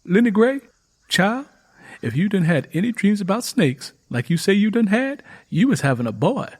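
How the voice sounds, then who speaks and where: Man voicing a high-pitched Southern country young woman. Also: big pitch swings, normal speed, no room sound. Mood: knowing, insistent. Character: nosy young country cousin, woman, politely pleading.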